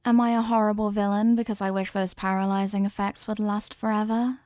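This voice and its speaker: A woman plays a clingy yandere wife in an ASMR audio drama. She is intense and possessive, coaxing her beloved with melodramatic devotion. Her voice sits at an ordinary female pitch, recorded very dry and intimate. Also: little pitch movement, fairly quick, a little mellow, no echo, lightly acted. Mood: pleading, obsessive. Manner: coaxing, melodramatic, lingering on words.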